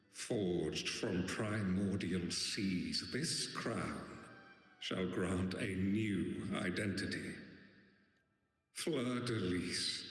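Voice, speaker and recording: Very slow, echoing solemn high priest. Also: male voice. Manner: grave, stately, liturgical. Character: solemn high priest crowning a chosen maiden. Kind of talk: ceremonial video game voice acting. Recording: echoey cavernous hall, music behind.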